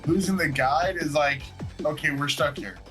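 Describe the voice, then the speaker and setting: A man at a tabletop game, calmly reasoning out the party's options in casual talk. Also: on the high side, moderate pace, over loud music. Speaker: player.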